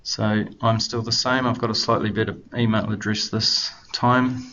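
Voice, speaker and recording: A man's voice: fairly low pitch, little pitch movement, normal speed, bright, forceful tone. A man with a New Zealand accent hosting a webinar, opening casually with lots of hesitant fillers. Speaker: webinar host. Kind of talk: webinar introduction. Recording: dull lo-fi webinar audio with faint background noise.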